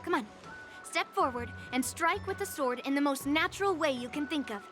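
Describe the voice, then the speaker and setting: A woman's voice: high-pitched, expressive, normal speed, music in the background. A woman voicing a cocky, boastful young girl sword master in an anime game, brash and commanding. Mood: instructive.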